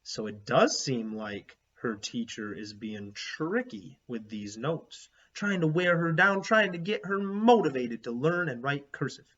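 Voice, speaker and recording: A man's voice: medium pitch, animated, measured pace, hardly any pauses, fairly bright tone, breathy edge. A man reading a children's book aloud like a teacher, expressively and with explanatory asides. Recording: slightly dull, lo-fi sound.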